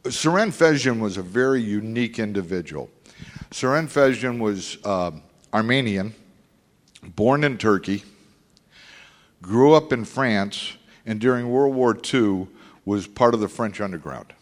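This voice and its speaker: An older man recalling his business career in a halting, rambling way with many fillers. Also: fairly low pitch, expressive, big-room sound. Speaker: former industry executive. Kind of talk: oral history interview.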